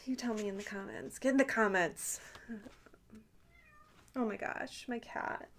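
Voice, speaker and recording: A woman's voice: medium pitch, a little slow, a bit bright. A woman chatting casually and intimately to the listener as an ASMR host, with playful, teasing asides.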